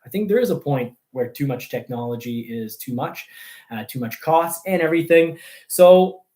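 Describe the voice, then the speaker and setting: A man's voice: mid-range voice, lively intonation, dark tone. Rambling man. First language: French. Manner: rambling, halting delivery. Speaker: host of a car news show. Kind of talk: casual livestream chat.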